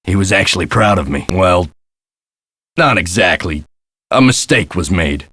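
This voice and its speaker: An actor playing a gruff, put-upon castle hotel caretaker in a video game, with a folksy, sardonic and exasperated way of talking. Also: male voice, sits low, expressive, a little slow, pushed and bright, dry recording, full character voice. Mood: wry, reflective. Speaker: caretaker and front desk clerk. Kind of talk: scripted video game character lines.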